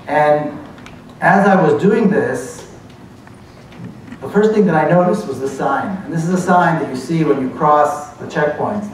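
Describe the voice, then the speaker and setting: A man's voice: medium pitch, a little hiss or hum. A man in his forties from Israel with an Israeli accent, earnestly telling his story in a university lecture. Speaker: speaker. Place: lecture hall. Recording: echoey hall from far off.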